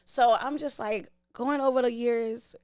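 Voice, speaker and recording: A woman's voice: on the high side, steady tone, unhurried, darkish tone, smooth, no room sound, studio quality. A woman in her late twenties hosting a podcast, chatting casually and animatedly with playful slang and frequent laughter. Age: late 20s. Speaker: podcast host. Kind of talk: casual chat.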